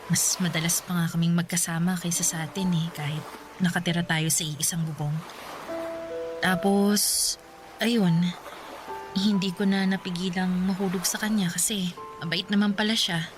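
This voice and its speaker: A gentle, frank widow played by a woman in a radio drama, speaking to an old flame in a slightly low voice. She is soft and apologetic, pausing as she goes, with clear music underneath. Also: steady tone, close to an everyday voice. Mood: apologetic, candid. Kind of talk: Tagalog radio drama dialogue. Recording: music bed behind the voice.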